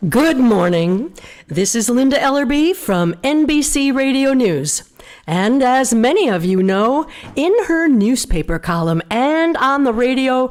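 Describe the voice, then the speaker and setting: A woman's voice: a little high, darkish tone. A woman playing a courteous network radio news host in live theater, with a formal announcer delivery. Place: live stage before a studio audience in a church.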